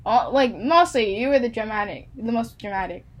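A woman's voice: a little high, moderate pace. A young woman in a casual chat with friends, talking in slang and swearing freely as she leads with questions.

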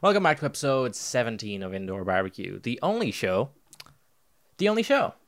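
Young male podcast host. Lively pitch, joking and profane in banter. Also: brisk. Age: young adult. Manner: joking, swearing, teasing banter. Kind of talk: casual chat.

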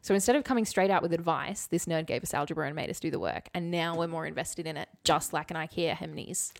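A woman's voice: deep voice, quick pace, creaky. A woman co-hosting a podcast, chatting casually in a playful, mock-serious way as she talks things through. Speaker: podcast co-host. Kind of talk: casual comedic chat, working through a formula aloud.

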